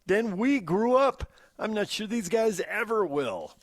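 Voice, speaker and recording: A man's voice: high-pitched, animated, unhurried, dry recording. An American man co-hosting a sports talk radio show, bantering and reading out comments in punchy, emphatic short phrases. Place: radio studio. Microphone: close studio microphone.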